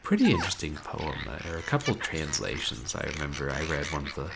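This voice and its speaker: A man in his thirties, a game streamer chatting casually at home in a wry, rambling, animated way. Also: rumbling low, fast, gravelly, noisy background. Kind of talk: casual livestream chat. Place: small room at home, indoors.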